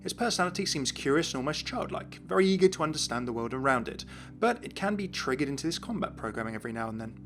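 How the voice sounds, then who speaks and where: A man with a slightly higher-than-average voice narrates a scripted lore video. He phrases it like a dramatic story, measured and deliberate. Faint guitar music plays behind him. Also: expressive, a bit bright.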